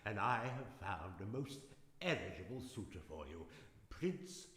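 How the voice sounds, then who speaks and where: A man's voice: on the low side, sing-song, measured pace, fairly bright tone. A man playing a pompous, commanding king and father, declaiming in a fairy-tale radio drama. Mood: stern, insistent. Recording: echoey hall with long reverb.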